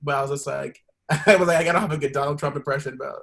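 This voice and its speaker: Fairly high-voiced man in casual banter. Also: steady tone, racing, creaky, fairly bright tone. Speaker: comedy podcast performer.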